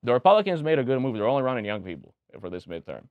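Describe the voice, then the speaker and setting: A man's voice: mid-range voice, very animated, rapid-fire, clean tone, no echo. A young man with a Romanian accent, a guest candidate talking opinionatedly in a casual interview, with many restarts. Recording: dull lo-fi sound.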